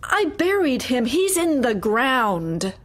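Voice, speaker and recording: Casting: timid female underling in an absurdist radio play, high voice, halting and obedient. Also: big pitch swings, full character voice. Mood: distressed. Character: meek, anxious female employee, obedient and hesitant. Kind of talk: satirical audio drama acting.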